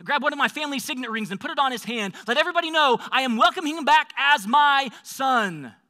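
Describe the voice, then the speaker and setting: Guy doing an emotional gray-bearded dad in a stage monologue, loud and high, bright forceful voice. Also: animated, rapid-fire, big-room sound, heavily acted. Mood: joyful commanding. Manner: emphatic, crying out with emotion. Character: gray-bearded father, big man, overjoyed, fiercely loving. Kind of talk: dramatic monologue storytelling.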